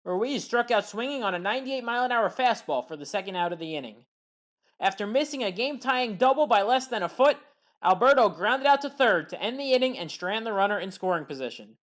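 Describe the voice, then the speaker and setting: A woman giving a scripted play-by-play recap of baseball highlights in a measured, factual way. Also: lively intonation. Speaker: highlights commentator.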